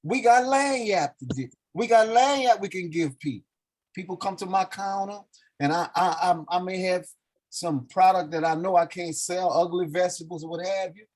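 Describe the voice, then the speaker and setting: A man's voice: high voice, moderate pace, a little mellow. A man who is a market vendor, talking in a rambling way that repeats itself on a video call.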